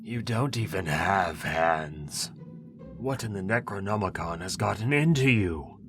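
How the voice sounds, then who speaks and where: Pompous chained necromancer, played by a man: very low voice, drawn-out delivery, wheedling, over clear music. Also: expressive. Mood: outraged. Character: ancient bound male necromancer, pompous and wheedling. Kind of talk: ASMR audio roleplay.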